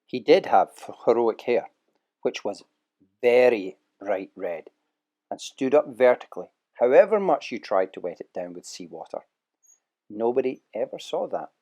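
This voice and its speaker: A man narrating a children's storybook audio play with lively, expressive storytelling. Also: mid-range voice.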